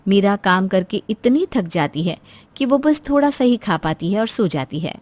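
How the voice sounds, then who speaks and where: A woman's voice: medium pitch, lively intonation, moderate pace, a little mellow. A woman storyteller reading a scripted moral story as narration.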